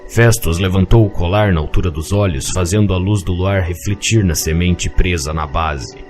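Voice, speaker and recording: A man with a Brazilian accent narrating a scripted fantasy story in a measured, literary way. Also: sits low, darkish tone. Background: music.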